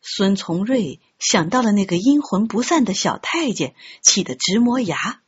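A woman narrates a story with an expressive, scripted delivery. Her voice has a husky grain and moves in lively swings of pitch. The recording is slightly dull and lo-fi. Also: unhurried. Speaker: audiobook narrator. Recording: dull, muffled lo-fi audio.

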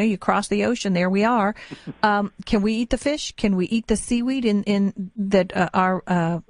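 An American woman hosting an informal radio interview, chatty and halting as she rephrases her questions on the go. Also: mid-range voice, a little mellow, no echo.

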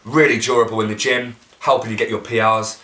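Deadpan young British man pitching a fake product. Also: a little fast. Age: young adult. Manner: deadpan sales pitch with crude jokes. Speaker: vlogger. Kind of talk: mock infomercial comedy. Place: living room, sitting on a sofa. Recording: roomy with faint noise.

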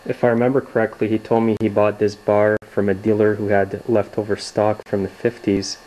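A man's voice: fairly low pitch, a little fast, slight background noise, poor audio. Young man showing off gear. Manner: relaxed, plain-spoken explaining. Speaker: video host. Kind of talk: casual show-and-tell. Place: home garage workshop, indoors.

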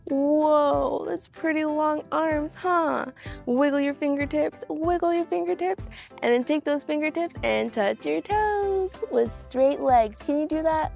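A young woman with a high voice and a noticeable creak in it hosts story time for children. She speaks in an encouraging, exclamatory way, straight to the kids, with faint music underneath. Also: a little slow, darkish tone. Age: young adult. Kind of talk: upbeat children's read-along presenting.

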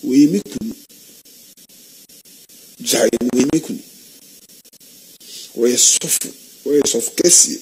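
A middle-aged man from Ghana, a pastor, preaching impassioned to camera from an indoor office, swinging between hushed low warnings and loud high exclamations. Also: takes it slowly, pauses often, poor audio. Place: indoor office, seated in armchair.

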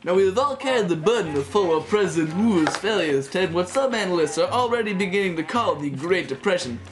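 A man's voice: high-pitched, animated, fairly quick, low-quality recording. A teenage man playing a jovial, flippant 1930s radio co-host in a chatty, hammy style. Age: teens. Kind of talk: mock 1930s radio program, scripted. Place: small wood-panelled room indoors. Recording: music playing over the voice.

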